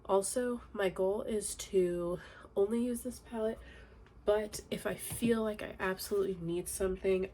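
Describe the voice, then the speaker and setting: Young woman, congested nasal voice, gravelly, faint room hiss, casual makeup chat. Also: mid-range voice. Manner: chatty, relaxed, many fillers. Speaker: beauty vlogger. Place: home, indoors.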